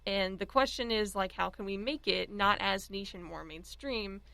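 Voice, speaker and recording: A woman's voice: mid-range voice, normal speed, vocal fry, pushed and bright, no room sound. A woman chatting informally as a podcast panelist, loosely thinking aloud with lots of filler words. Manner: informal, filler-heavy with many "like"s. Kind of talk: casual chat.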